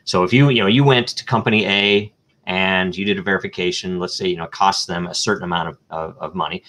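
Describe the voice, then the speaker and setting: A man with a very low voice explains a technical idea as an interview guest. He thinks aloud, often filling pauses with ums and uhs and restarting his sentences. He works through concrete examples one step at a time. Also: steady tone, fairly bright tone. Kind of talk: live online interview answers.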